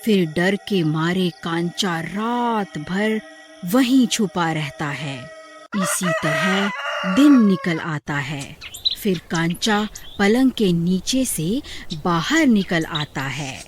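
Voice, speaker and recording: A woman's voice: on the low side, expressive, drawn-out words, over music. A woman narrating a scripted horror story.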